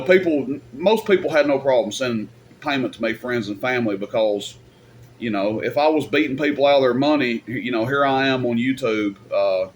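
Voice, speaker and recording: A man's voice: medium pitch, a little hiss or hum. A man giving casual, off-the-cuff advice in a rambling, plain-spoken, colloquial way, like a YouTube host. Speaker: YouTube host and radio equipment seller.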